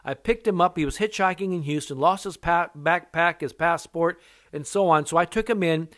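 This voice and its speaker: A man's voice: moderate pace, fairly bright tone, no echo, clean studio sound. An older man, born in Japan and raised in America, preaching an earnest sermon as an evangelist, his voice rising sharply when he stresses a point. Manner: earnest preaching that rises in pitch on emphasis. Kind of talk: sermon teaching with personal testimony.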